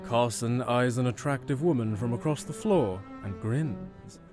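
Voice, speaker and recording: A man's voice: medium pitch, unhurried. Male narrator over prominent string music. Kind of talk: scripted audio drama narration.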